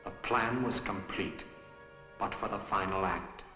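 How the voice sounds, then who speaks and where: An actor playing a smug, scheming nephew, narrating a radio thriller in a formal, measured, self-satisfied way. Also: male voice, on the low side, pushed and bright. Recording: echoey, long reverb, music underneath. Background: music.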